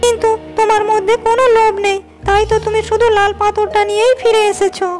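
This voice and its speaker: A woman voicing a kind, wise fairy queen in a storybook cartoon, speaking in a gentle, teaching tone. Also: extremely high pitch, level tone, fast, faint music behind. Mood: instructing.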